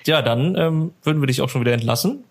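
Creaky-voiced man chatting on a podcast. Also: mid-range voice, quick pace. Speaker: podcast co-host. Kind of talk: casual podcast chat.

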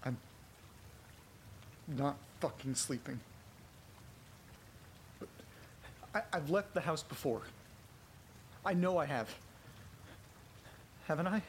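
An actor playing a frightened, bewildered man in a horror audio drama, muttering haltingly to himself, repeating words and swearing. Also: expressive. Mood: uneasy confusion. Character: frightened, bewildered man trapped near his haunted house. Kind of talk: first-person horror audio drama monologue. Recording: clear background noise, vehicle rumble.

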